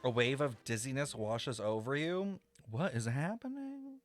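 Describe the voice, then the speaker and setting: A man's voice: mid-range voice, unhurried. A man giving casual, exclamatory reaction commentary as a game streamer. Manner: expressive, exclamatory. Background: game music.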